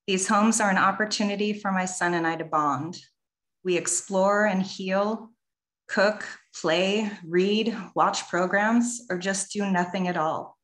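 Woman, from Washington State, public commenter, lowish voice, measured reading, echoey call. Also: a bit bright. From Washington State, USA. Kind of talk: prepared public comment read aloud. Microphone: video call.

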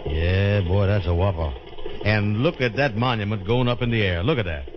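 A muffled old radio comedy gives us a man playing a nervous, dim-witted blusterer. The voice is deep and low. He talks in a folksy, bossy way and garbles big words. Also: moderate pace, noisy background, natural voice. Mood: amazed. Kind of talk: 1930s radio comedy acting. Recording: dull, lo-fi 1930s radio recording.

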